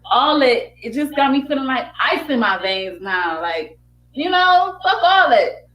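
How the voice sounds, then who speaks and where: A woman's voice: high-pitched, very animated, measured pace, lo-fi sound. A woman chatting casually and bluntly on a live stream, swearing and repeating herself for emphasis.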